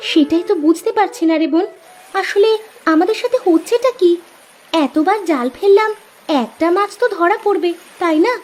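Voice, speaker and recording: A woman's voice: extremely high pitch, animated, normal speed. A woman voicing a determined young village sister in a cartoon, speaking earnestly and chattily. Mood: frustrated. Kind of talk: Bengali moral-tale cartoon dubbing. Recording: loud music under the voice.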